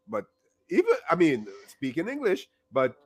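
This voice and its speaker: A man with a strong Jamaican accent interviewing a guest in a relaxed chat, laughing often and repeating himself. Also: on the high side, moderate pace, husky, dry recording.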